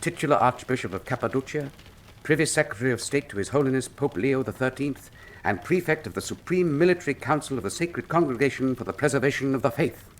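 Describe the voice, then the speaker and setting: A man acting as a shrewd, urbane male detective in a radio drama. His mid-pitched voice moves in lively, theatrical swoops, with formal, precise phrasing and a wry, teasing edge. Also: fast, slight background noise, lightly acted. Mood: courtly.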